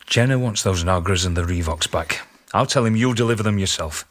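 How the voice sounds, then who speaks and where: A man's voice: low-pitched, a little fast, lightly acted. An actor playing a pragmatic, weary and exasperated male scientist in a radio drama. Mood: resigned, exasperated. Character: exasperated, pragmatic male colleague on research team.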